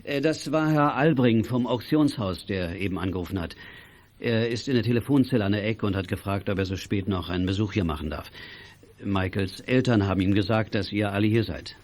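An actor playing a friendly, calm father who helps child detectives by CB radio in a children's audio drama. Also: on the low side, brisk, lightly acted. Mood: relaxed, friendly. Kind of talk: 1980s German children's audio drama acting.

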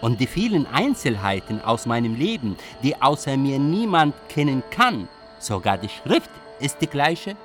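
An actor playing a brooding young Iraqi exile and writer, narrating a literary radio drama in an introspective, deliberate way. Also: male voice, medium pitch, sing-song, a bit bright, faint music behind. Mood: bewildered.

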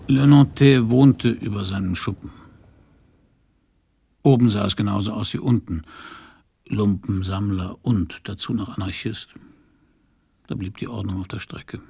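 An actor playing a cynical private detective in a crime radio play, laconic and sardonic with a gravelly voice. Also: male voice, on the low side. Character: cynical, hard-boiled male private detective narrating his case. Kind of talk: first-person crime radio play narration and dialogue.

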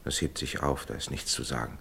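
An actor playing a conciliatory middle-class gentleman in a radio drama, raspy, speaking as a reassuring, educated old friend. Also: extremely low pitch, steady tone, a little fast, exaggerated voice. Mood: conciliatory, weary. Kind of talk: German radio drama acting.

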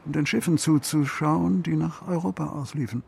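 An actor playing a reflective, melancholy storyteller, narrating in measured, broken phrases for a literary radio play. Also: male voice, fairly high pitch, steady tone, gravelly, a little mellow, breathy edge, full character voice. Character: melancholy male storyteller recounting a troubled couple's story.

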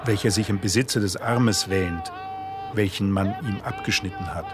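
A man giving a measured, formal literary reading of a philosophical text for a radio play. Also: deep voice, a crowd in the background.

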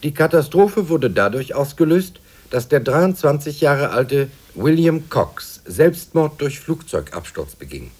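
A grave newsreader delivers disaster reports in a fifties radio drama. His husky voice sits mid-range and swings dramatically in pitch, formal throughout. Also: very animated, unhurried, few pauses, darkish tone, full character voice. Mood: grave, dramatic. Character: urgent newspaper and radio news reporter. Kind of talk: dramatised 1950s news report.

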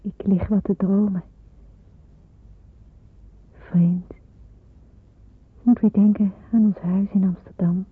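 An actress playing a devout, calm young woman in wartime hiding, narrating her thoughts in a measured, dreamy way for a radio play. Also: medium pitch, breathy edge. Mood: dreamy, melancholy. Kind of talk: radio play acting with reflective inner narration. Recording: tinny, noisy old radio play recording.

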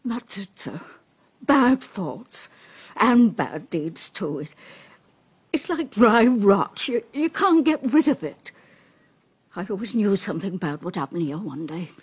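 An actress playing an uneasy, superstitious household servant in a radio drama, with a hesitant, deferential manner. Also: female voice, mid-range voice, big pitch swings, slow, husky, full character voice. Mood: uneasy, ominous.

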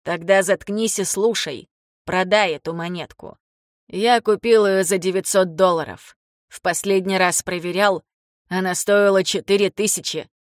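Woman voicing a slow, blunt ailing old father. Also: medium pitch, steady tone, no echo, clean studio sound, lightly acted. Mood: firm, fond. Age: older. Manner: slow, deliberate, halting for words. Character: ailing older father, blunt, teasing, fond of his daughter. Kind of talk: audiobook character dialogue.

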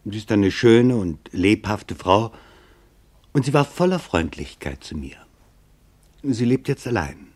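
A man narrating a scripted radio documentary in a sober, deliberate manner. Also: lowish voice, expressive, a little mellow, breathy edge.

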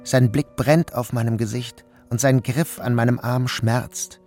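Male narrator, calm and measured, literary reading with music clearly underneath. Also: mid-range voice. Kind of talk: scripted first-person narration.

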